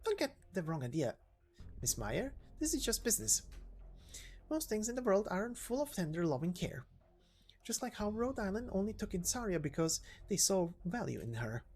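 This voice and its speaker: A woman's voice: mid-range voice, big pitch swings, moderate pace, a little mellow, soft background music, close to an everyday voice. A woman voicing a playful, businesslike, scheming female company director from a game story, persuasive and teasing in manner. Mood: coolly persuasive. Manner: persuasive, teasing, matter-of-fact. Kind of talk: dramatic game story reading.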